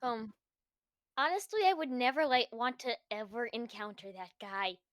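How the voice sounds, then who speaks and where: Animated high-pitched woman. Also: normal speed, no echo, clean studio sound. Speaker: player in a tabletop role-playing game. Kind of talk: casual in-character game chat.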